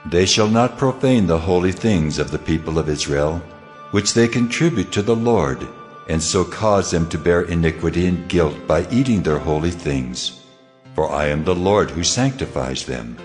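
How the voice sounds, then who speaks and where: The Lord, deep and solemn. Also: male voice, animated, darkish tone, soft background music. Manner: solemn, slow, weighty pronouncements. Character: the Lord, solemn commanding male deity. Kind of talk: dramatized scripture reading.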